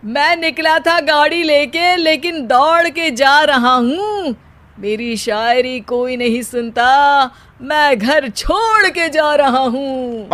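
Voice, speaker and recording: A lazy young man voiced by a woman in a cartoon story, high and unhurried. He declaims cheesy rhymes with wounded, self-pitying drama, dragging out each couplet. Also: a bit bright. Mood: melodramatic. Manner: theatrical, declaims in rhyming couplets.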